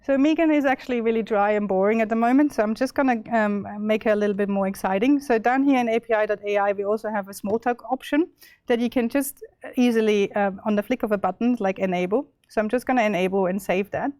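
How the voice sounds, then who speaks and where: Young Austrian woman demoing software live, loose and chatty, dry mic. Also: mid-range voice, fast talker, dry recording. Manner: chatty, many fillers and restarts. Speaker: presenter. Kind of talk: live tech demo walkthrough.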